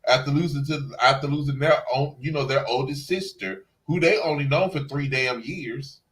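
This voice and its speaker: A man's voice: mid-range voice, moderate pace, fairly bright tone, poor audio. A man co-hosting a casual review chat, talking in an emphatic, sassy, salty-tongued way. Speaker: review show co-host.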